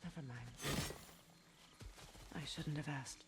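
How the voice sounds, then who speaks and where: A woman playing a proud, sorrowful goddess warrior in a video game, speaking in earnest, measured, formal phrases. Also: low-pitched, noisy background. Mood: hesitant, subdued. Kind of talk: dramatic video game acting.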